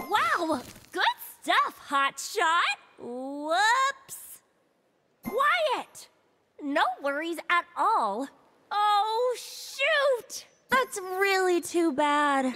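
A sassy, bubbly young fire goddess from a video game. Her voice is very high and bright, swinging widely in pitch. She delivers each line with perky, exaggerated beach-girl playfulness. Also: full character voice. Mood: bubbly, casual. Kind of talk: video game character voice lines.

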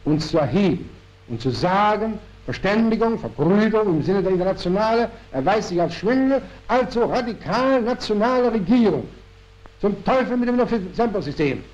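A man's voice: high-pitched, animated, moderate pace, darkish tone, voice pushed up. A man reading a play as a fervent young nationalist militant, pressing and emphatic, in a historical recording. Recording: dull historical archive recording.

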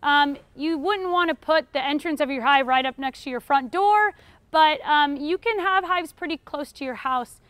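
Young American female teacher, high-pitched, informal Q&A lecture delivery, dry recording. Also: normal speed, fairly bright tone, no room sound. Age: young adult. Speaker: beekeeping class instructor. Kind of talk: informal lecture answering audience questions. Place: retail store aisle in front of a seated audience.

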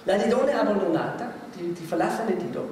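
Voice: medium pitch, fairly quick, a little mellow. A performer speaking in a theatrical comic monologue style. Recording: very echoey, distant, noisy hall playback.

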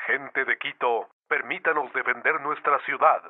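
An actor playing a solemn mayor rallying citizens in a radio drama. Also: male voice, mid-range voice, fairly even intonation, unhurried, close to an everyday voice. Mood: solemn, resolute. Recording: tinny, band-limited radio sound.

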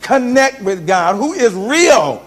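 High-voiced preacher in a reverberant church. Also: male voice, slow. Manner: animated, swings from loud to soft, gestures while preaching. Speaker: pastor. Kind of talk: sermon. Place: large church sanctuary, indoors. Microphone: handheld microphone.

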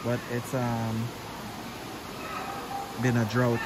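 A man's voice: medium pitch, completely flat delivery. A man with a Jamaican accent, chatting casually to viewers while hiking down to a waterfall and filming on a handheld camera. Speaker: vlogger. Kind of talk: casual travel vlog narration. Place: outdoors at a river waterfall. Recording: loud waterfall and outdoor noise. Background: rushing water, insects.

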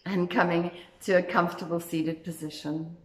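A woman leading a guided meditation as instructor, speaking in a gentle, soothing, unhurried way. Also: fairly low pitch, fairly even intonation, slight background noise. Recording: echoey, roomy sound.

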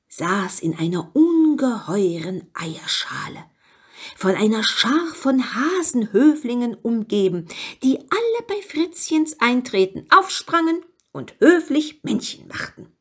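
A woman reading a fairy tale as scripted narration, expressive and with dramatic emphasis. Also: mid-range voice, husky. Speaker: story reader.

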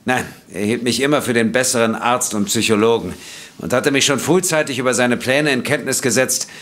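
A man playing a wronged, earnest and dignified doctor in an audio drama, speaking in a measured, formal way. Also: medium pitch, fairly bright tone, lightly acted. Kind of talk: German audio drama acting.

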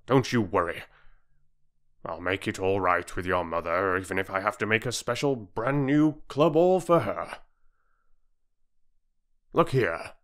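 A kindly country doctor speaks slowly and reassuringly, read by a man in his own voice. His tone is cheerful and gently lively, as in a storybook reading. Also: low-pitched, full character voice.

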